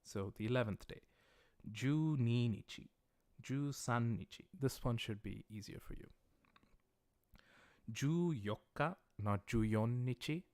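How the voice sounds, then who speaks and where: A man's voice: mid-range voice, a little mellow, no echo. Patient man teaching Japanese dates. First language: English. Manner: patient, explains step by step, reads viewer questions aloud; slows down to pronounce Japanese words carefully. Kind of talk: livestreamed language lesson.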